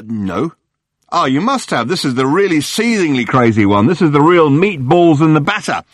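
A man voicing a gushing, fast-talking male tabloid journalist who babbles silly nicknames in a breathless torrent. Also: fairly high pitch, very animated, no echo, studio quality, pitched above the performer's own voice. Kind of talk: audiobook character voice.

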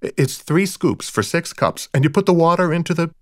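A scatterbrained, apologetic young wife played by a male audiobook narrator, pitched well up. She stammers and leaves her sentences hanging, always flustered. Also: lively intonation, dry recording, clean studio sound, lightly acted. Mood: fluttery, hospitable. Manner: halting, stammering, breaks off mid-sentence. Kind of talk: audiobook character dialogue.

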